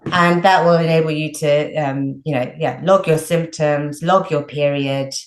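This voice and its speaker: A woman's voice: low voice, steady tone, a little slow. A woman health coach giving casual advice as an interview guest, chatty and full of "like" and "you know". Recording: roomy echo.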